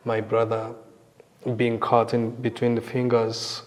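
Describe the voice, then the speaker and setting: A middle-aged man with a Rwandan accent, giving reflective personal testimony in a halting, thoughtful way. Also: medium pitch, completely flat delivery. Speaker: interview guest sharing survivor testimony.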